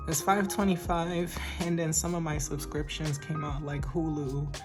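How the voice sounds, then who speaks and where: A woman's voice: low voice, level tone, music in the background. A woman vlogger with a weak, shaky voice, chatting casually and hesitantly about her budget. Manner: slow and soft-spoken, with hesitant ums and light laughs. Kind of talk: casual chat.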